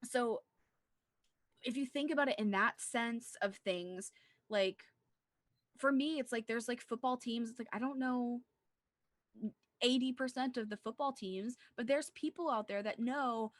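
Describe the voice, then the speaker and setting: A woman's voice: a little high, normal speed, no room sound. A woman co-hosting a casual movie discussion, talking in an earnest, rambling way with plenty of "like". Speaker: podcast co-host.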